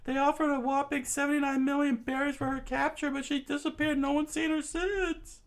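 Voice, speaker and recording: A man voicing an excitable male soldier who explains things in a high, breathless rush. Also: a little fast. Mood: excited.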